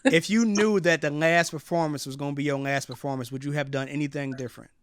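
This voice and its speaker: A man's voice: fairly high pitch, steady tone, fast talker, background noise. A man hosting a casual radio interview, speaking in an informal, frank and slangy way. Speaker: radio host and DJ interviewing an artist.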